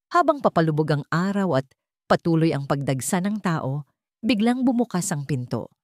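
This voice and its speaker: A woman narrator delivers a scripted dramatic story. Her pitch sits a little low for a woman, and the sound is dry, with hardly any room in it. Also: darkish tone, no room sound.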